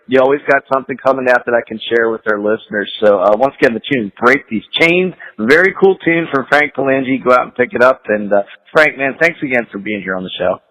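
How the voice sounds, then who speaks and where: Fast-talking chummy radio host man. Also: mid-range voice, a bit bright, low-quality recording. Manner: upbeat, chummy, calls guest "man". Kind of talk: internet radio interview. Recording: radio broadcast.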